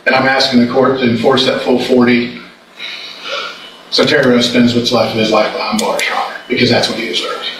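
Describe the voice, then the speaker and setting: A man speaks as a prosecutor, pressing his case with emphatic condemnation. His mid-pitched voice rings heavily around the room, picked up away from the mic with clear background noise. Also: level tone, brisk. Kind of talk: courtroom closing argument. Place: indoor courtroom. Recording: echoey courtroom, distant mic, background noise.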